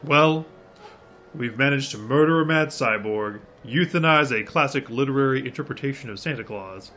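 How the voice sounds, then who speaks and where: A man playing a deadpan, matter-of-fact colleague in a comedic audio drama. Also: medium pitch, normal speed, faint music behind, natural voice.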